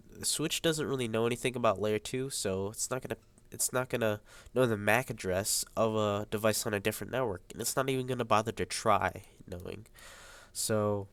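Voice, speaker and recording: Young man, congested nasal sound, slightly low voice, halting student tutorial delivery. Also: fairly bright tone, no room sound. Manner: hesitant, many ums and uhs. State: congested, stuffy nose. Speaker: high school student. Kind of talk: screen-recorded tutorial. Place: at a computer, voice over screen capture.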